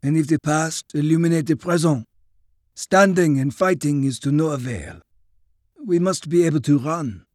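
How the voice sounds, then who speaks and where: A man voicing a cautious sergeant major in an audiobook war story, with a formal, deliberate delivery. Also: on the high side, a little mellow, no echo, clean studio sound, natural voice. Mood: grave, cautious.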